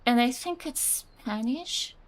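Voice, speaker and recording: A woman's voice: a little high, animated, takes it slowly. A young woman whose first language is Russian, chatting casually while streaming a game, rambling with many "like"s, reading out chat messages and laughing often.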